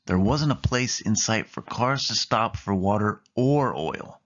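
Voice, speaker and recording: A man's voice: on the low side, expressive, a little slow, a bit bright. A man narrating a read-along children's story from a book. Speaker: storybook reader. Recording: dull, muffled lo-fi recording.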